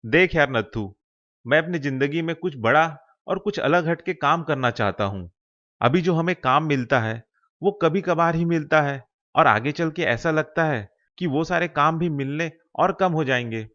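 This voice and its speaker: A man's voice: fairly high pitch, animated, normal speed, fairly bright tone, dull audio. A man playing an ambitious young village man in a cartoon story, persuading a friend in an earnest way. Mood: earnest, persuasive. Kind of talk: Hindi cartoon moral-story voice acting.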